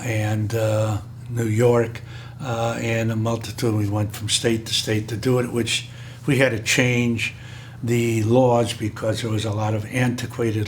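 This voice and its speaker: An older man, a bit hoarse, recalling his career in a rambling way with many halting pauses. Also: fairly low pitch, slight background noise. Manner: rambling, frequent filler pauses. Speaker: interviewee. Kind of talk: oral history recollection.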